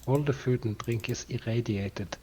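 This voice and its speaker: Calm, measured man explaining games. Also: mid-range voice, husky, poor audio. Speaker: co-streamer. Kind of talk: casual chat while gaming.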